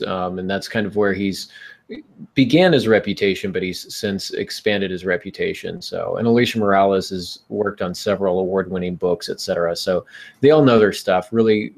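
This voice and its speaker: A man hosting a podcast, talking casually and giving advice to listeners. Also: on the low side. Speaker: podcast host.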